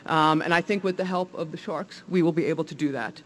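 Jersey guy league exec giving a speech, fairly high voice, lots of ums and restarts, pumped up. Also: fairly even intonation, a little fast, a bit bright, a little hiss or hum. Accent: New Jersey. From New Jersey. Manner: enthusiastic, many fillers and restarts. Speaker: league executive. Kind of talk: public speech at a press event.

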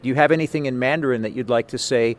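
A man's voice: mid-range voice, very fast, slight background noise. A man hosting a conversational sports interview, talking in a wordy, encouraging way.

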